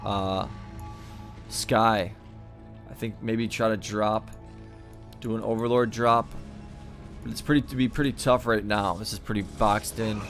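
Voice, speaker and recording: A man giving live commentary on a real-time strategy match in an easygoing, thinking-aloud way, and he is losing his voice. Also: on the low side. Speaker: game caster.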